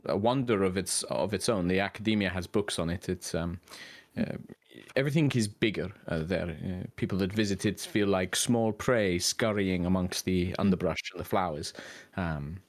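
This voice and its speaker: A man voicing a well-read young male adventurer who muses haltingly and in wonder. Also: deep voice. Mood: awed. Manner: halting, wonder-struck musing. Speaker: player.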